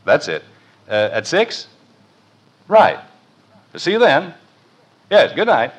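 A man's voice: on the high side, big pitch swings, takes it slowly, a little hiss or hum. An actor playing an exasperated, bossy middle-aged American father in a 1950s family radio comedy. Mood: polite, businesslike. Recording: muffled old radio broadcast recording.

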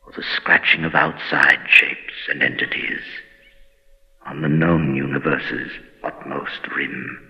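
An actor playing a brooding keeper of horror tales, narrating in a solemn, theatrical old-time radio style. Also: male voice, low-pitched. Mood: solemn, eerie. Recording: dull vintage radio, long reverb, faint music.

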